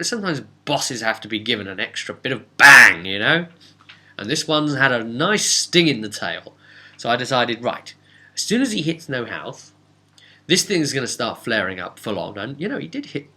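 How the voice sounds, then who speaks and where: A man giving a casual, unscripted recap as a tabletop game master, rambling and animated with hesitant asides. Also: mid-range voice, moderate pace.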